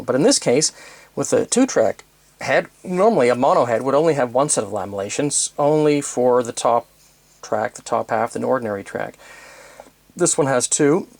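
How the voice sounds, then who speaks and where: Male demo presenter, medium pitch, unscripted and methodical technical explainer with hesitant, halting delivery. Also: dry recording. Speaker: presenter demonstrating vintage tape recorders.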